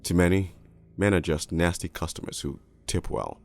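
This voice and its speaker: A man voicing a cynical, world-weary crooked police detective, narrating an animated noir storybook in a brooding, deliberate, gravelly way. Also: sits low. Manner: brooding, hard-boiled, deliberate. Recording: music bed behind the voice.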